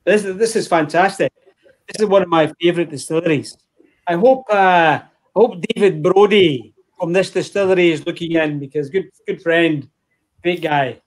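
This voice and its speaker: A man's voice: a little high, moderate pace, poor audio. A man hosting an online whisky tasting over a video call, talking in a chatty, easygoing way. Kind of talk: casual chat on a video call.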